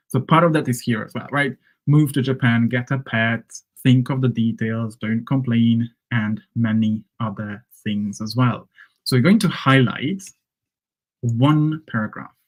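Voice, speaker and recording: A man whose first language is Hungarian coaches English learners in a loose, conversational way. He explains phrases step by step and checks in often with "right?" and "okay?". His voice sits in an ordinary middle pitch. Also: low-quality recording. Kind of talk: live-streamed English lesson.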